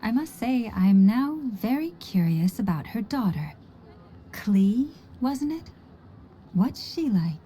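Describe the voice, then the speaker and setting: A woman voicing a calm, soft-spoken, curious young woman in a video game, measured and inquisitive. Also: on the high side, a little hiss or hum.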